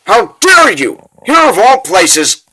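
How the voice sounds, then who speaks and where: A man performs a brooding warrior haunted by lost love in a dramatic comic dub. His delivery is solemn and halting, at a slow pace with a forceful edge. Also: high-pitched, wildly swooping pitch, exaggerated voice, rough recording. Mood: angry. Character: Garrison, brooding grief-stricken swordsman haunted by lost love.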